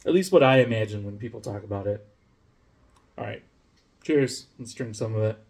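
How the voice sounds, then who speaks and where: A man's voice: on the low side, normal speed, a little mellow, breathy edge. Rambling, self-mocking beer-reviewing guy. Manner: rambling, self-mocking, profane, many fillers and restarts. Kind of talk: casual rambling review vlog. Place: home room, lives in Denmark.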